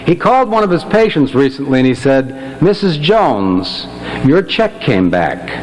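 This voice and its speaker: A man's voice: medium pitch, sing-song, measured pace. A man doing comic stage patter as a quartet member, wry and mock-humble, telling jokes to a live audience. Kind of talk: stand-up comic stage banter. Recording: noisy live hall recording, audience present.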